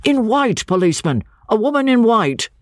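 A woman's voice: on the high side, sing-song, normal speed, no echo, studio quality, pitched above the performer's own voice. A woman playing a curt, urgent asylum keeper. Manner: urgent, commanding.

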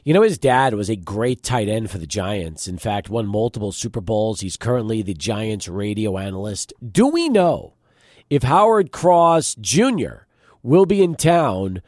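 A man hosting a studio sports talk show, his voice a little hoarse and deeper than usual, chatting and asking questions. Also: a little slow, no room sound. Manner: conversational, lively questioning. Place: radio studio.

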